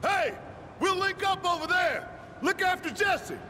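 An actor playing a big, brash, hot-tempered rebel leader in a video game, gruff, barking and taunting. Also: male voice, extremely high pitch, animated, measured pace, sharp tone, long reverb tail, slight background noise, heavily acted. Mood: urgent commanding. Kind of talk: dramatic video game acting.

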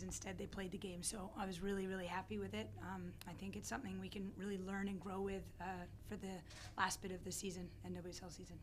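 A middle-aged woman speaks with a hoarse, scratchy voice, her throat worn out. She answers press questions in a measured, thoughtful way from behind a table mic. Her pitch sits in a middle range for a woman. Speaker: head coach. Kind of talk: postgame press conference answers. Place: press conference room, seated at a table. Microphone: desk microphone on a stand.

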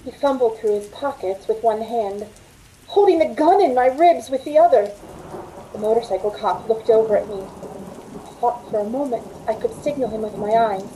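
A woman acting as a scared young woman in a radio suspense play. Her voice is high and soft-edged, anxious and imploring, recorded over a home call with faint noise. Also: normal speed, close to an everyday voice, poor audio. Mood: tense narrating. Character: frightened, pleading young working woman held captive. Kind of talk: old-time radio suspense acting, first-person narration. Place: indoors at home on a video call.

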